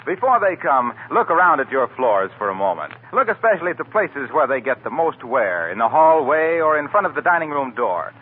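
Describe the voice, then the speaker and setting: A man's voice: a little high, sing-song, a little fast, few pauses, slightly rough. A man announcing in 1940s radio style, delivering sponsor messages with polished, emphatic salesmanship. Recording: hissy, narrow-band 1940s radio recording.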